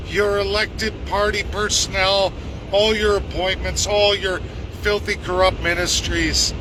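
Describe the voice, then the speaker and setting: High-voiced angry man ranting outdoors. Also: noisy background. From Canada. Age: 40s. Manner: angry, insistent, repeats himself. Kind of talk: ranting monologue to viewers.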